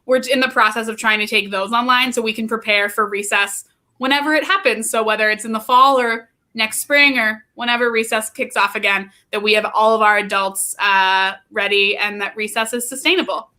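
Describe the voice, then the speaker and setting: American lady in her 30s, really bright highish voice, peppy and keen, explaining stuff as a guest. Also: fairly even intonation, fairly quick. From Pennsylvania, United States. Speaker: guest, youth play nonprofit training manager. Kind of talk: interview explanation.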